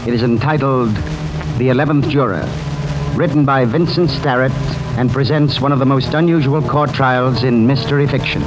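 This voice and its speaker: A vintage radio broadcast with music behind. A man presents as a formal American male mystery host of medium pitch, announcing in measured, polished period style. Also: natural voice, low-quality recording.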